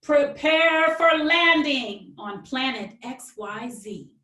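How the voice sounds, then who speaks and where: Lady author doing a kids read-aloud, super expressive high voice, echoey and a bit far from the mic. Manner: expressive, deliberate phrasing for children. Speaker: children's author, guest reader. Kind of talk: animated storybook read-aloud. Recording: roomy, distant microphone.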